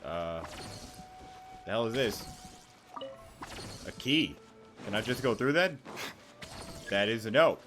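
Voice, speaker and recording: A man's voice: fairly low pitch, big pitch swings, slow pace, long pauses, music in the background. A young man giving casual gameplay commentary, rambling and thinking aloud in slangy, sweary speech. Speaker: gaming YouTuber.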